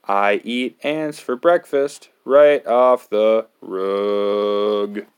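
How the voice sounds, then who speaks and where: A man voicing a silly robot monkey in a children's storybook, with exaggerated, drawn-out nonsense sounds. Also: lowish voice, steady tone, clean tone. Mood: goofy. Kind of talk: playful children's picture-book reading.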